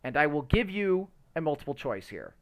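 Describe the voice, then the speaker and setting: Guy hosting a casual podcast, super animated up and down voice, rambles and stumbles around, pretty opinionated. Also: a little high. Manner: rambling, halting search for words. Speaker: podcast host. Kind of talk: casual chat about movies.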